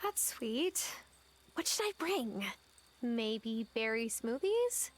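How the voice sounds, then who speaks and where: A woman's voice: on the high side, sing-song, slow, heavily acted. An actress playing a sweet young woman farmer and novice witch in a cozy video game. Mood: sweet, friendly. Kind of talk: voiced cozy life-sim game dialogue.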